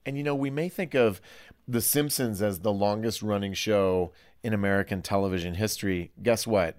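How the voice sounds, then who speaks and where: A man with a fairly low voice hosts a panel discussion. He speaks conversationally, with a playful, teasing manner toward his guests. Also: moderate pace, smooth. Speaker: podcast host and interviewer.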